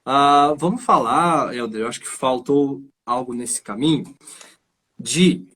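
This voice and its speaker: Brazilian male host and voice coach, husky mid-range voice, casual explanatory delivery. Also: medium pitch, a little slow, fairly bright tone. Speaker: live show host and voice coach.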